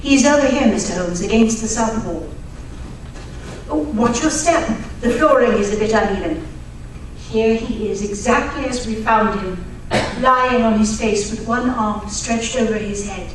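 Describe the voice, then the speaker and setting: A woman plays a genteel lady in a radio mystery. Her voice is slightly high, with a polite and earnest delivery. The recording is distant and very echoey, with faint noise. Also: a little slow, natural voice. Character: Lady Georgina, polite, helpful, well-bred female client. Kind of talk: old-time radio drama acting.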